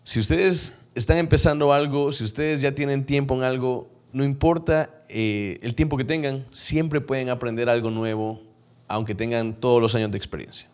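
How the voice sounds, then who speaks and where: A man's voice: medium pitch, fairly even intonation, normal speed, a little mellow, clean tone. A man, hosting an interview show in a casual, conversational style.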